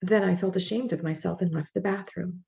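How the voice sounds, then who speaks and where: A woman voicing a young immigrant mother in labor, reading her thoughts in the first person in a plain, gently rueful way. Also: fairly low pitch, fairly even intonation, fairly quick, gravelly. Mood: reflective.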